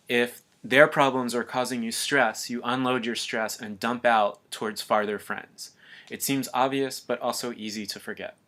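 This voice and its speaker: A man giving a calm, earnest scripted voice-over narration for an explainer video. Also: mid-range voice.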